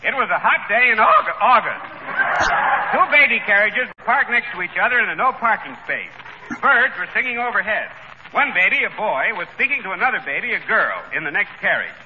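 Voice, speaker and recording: A man's voice: sits high, very animated, fairly quick. A man playing a folksy, blustering small-town police chief in 1930s radio comedy. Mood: mock solemn. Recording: noisy, muffled 1930s radio recording.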